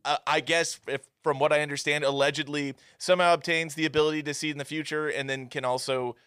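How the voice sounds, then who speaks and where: A man co-hosting a casual movie review podcast, animated and swearing freely. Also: a little high, clear, resonant tone, dry recording, studio quality. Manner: animated, swearing, quick asides. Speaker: podcast co-host.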